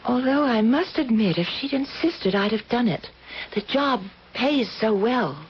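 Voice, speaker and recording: A woman's voice: on the high side, lively intonation, a little slow. An actress playing an earnest, kindly working woman, tentative and conversational, in an old-time radio drama. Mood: friendly, confiding. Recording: dull, noisy vintage radio recording.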